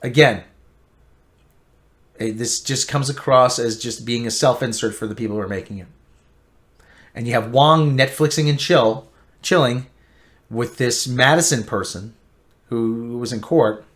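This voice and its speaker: A man giving an opinionated, emphatic TV review rant, repeating himself and swinging between loud outbursts and low grumbling. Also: unhurried, long pauses. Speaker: online pop-culture commentator.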